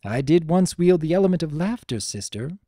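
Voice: mid-range voice, animated, fairly quick, mellow, no echo, clean studio sound, voice pushed up. A narrator voicing a formal, earnest female pony princess in a dramatic story reading, with archaic, stately diction. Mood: warm, wistful.

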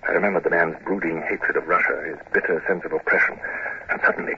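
An actor playing a serious, brooding British naval commodore, earnest and formal, in a 1950s radio drama. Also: male voice, low-pitched, fairly even intonation, fast talker, lightly acted. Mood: uneasy. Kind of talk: 1950s radio drama acting and first-person narration. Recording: noisy, dull old radio recording.

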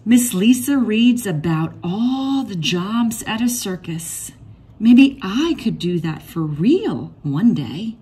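A woman reading a rhyming children's picture book aloud in an expressive, measured storytelling manner. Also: medium pitch, breathy edge. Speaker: read-aloud storybook reader.

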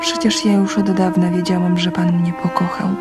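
An actress playing a tender, heartbroken young woman in a radio play, pleading haltingly with a breathy voice. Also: fairly low pitch, little pitch movement, close to an everyday voice. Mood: agitated. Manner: halting, pleading, emotional delivery. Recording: radio drama with music underneath.

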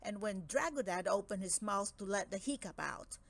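A woman narrating a children's book aloud in an expressive storytelling style. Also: medium pitch, normal speed, vocal fry, heavy background noise.

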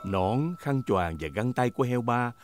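A man's voice: medium pitch, animated, measured pace, natural voice. A man narrating a children's cartoon as a cheerful storyteller for small children. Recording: music bed behind the voice.

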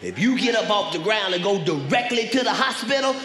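A man doing a stand-up routine on a large theater stage with a handheld mic, loudly shouting his punchlines. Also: high voice, very animated, brisk, soft background music. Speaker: stand-up comedian. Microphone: handheld microphone. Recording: roomy hall with loud crowd.

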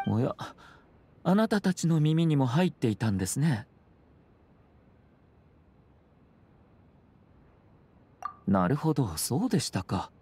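An actor voicing a suave young noble lord in a video game, speaking formal, measured with courteous composure and subtle wryness. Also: male voice, medium pitch, sing-song, background noise, natural voice. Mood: courteous, composed.

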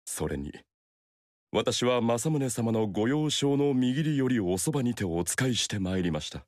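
An actor playing a loyal young male retainer in a game, formal and courteous, with quiet irony. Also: medium pitch, sing-song, normal speed, no room sound, studio quality, natural voice. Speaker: game character voice. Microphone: dry studio mic.

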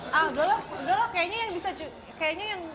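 Very high-voiced, playfully complaining young woman. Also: expressive, quick pace, a loud crowd. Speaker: TV show guest. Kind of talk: casual chat in a guessing game. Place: cafe-style TV show set indoors. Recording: loud music and audience noise behind.

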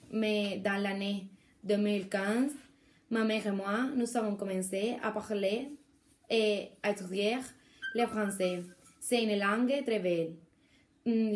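A teenage girl presents a short school piece from her room at home. Her voice sits in an everyday middle pitch. The delivery is calm and a little careful, close to the phone. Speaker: student presenting her French project. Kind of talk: reading a short prepared piece.

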